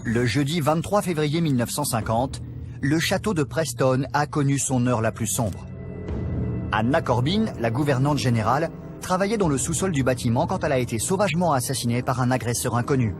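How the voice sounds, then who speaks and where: A man's voice: mid-range voice, animated, brisk, over music, close to an everyday voice. A man voicing an intense paranormal documentary host, narrating and questioning in a dramatic, inquisitive way. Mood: grave, dramatic. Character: intense male ghost hunter hosting a documentary. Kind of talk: dubbed documentary narration and interviewing.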